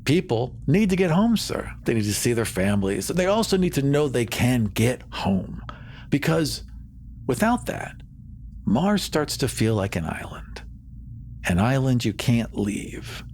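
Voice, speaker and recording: Man as persuasive sci-fi colony spokesman; earnest, assertive, mid-pitched, pauses for emphasis. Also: a little mellow, a little hiss or hum. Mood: grave, insistent. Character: assertive, smooth-talking male Mars colony promoter. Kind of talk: improvised roleplay dialogue.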